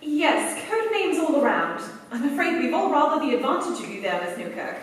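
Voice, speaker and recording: A woman's voice: high voice, sing-song, brisk. A woman playing a composed, politely commanding wartime commando leader in a staged radio play, formal and businesslike. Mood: gracious. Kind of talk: staged 1940s-style radio play acting. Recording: echoey hall, distant stage mic, faint noise.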